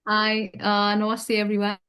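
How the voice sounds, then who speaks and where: A woman's voice: mid-range voice, monotone, normal speed, fairly bright tone, clear, resonant tone. A woman with a Nepali accent introducing herself informally on an online panel, in loose, hesitant phrasing. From Kathmandu, Nepal. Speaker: guest panelist, engineer and entrepreneur. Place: online video call.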